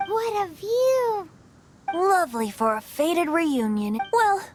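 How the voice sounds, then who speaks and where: A playful wandering bard from a video game, boyish and high-pitched. The delivery is theatrical and unhurried, lilting widely up and down. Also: female voice, animated, a little hiss or hum, full character voice.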